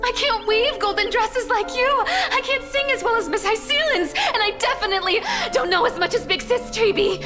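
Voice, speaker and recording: A woman voicing an insecure young female street thief in a video game, earnest, halting and pleading. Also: extremely high pitch, in a large hall, over loud music. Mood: frustrated, pleading. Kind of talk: dramatic video game voice acting.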